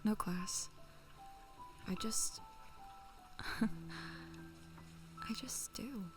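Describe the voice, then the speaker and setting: A woman's voice: mid-range voice, extremely slow. A woman playing a dreamy, hesitant young female student in an audio drama, often sinking toward a whisper. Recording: music under the voice.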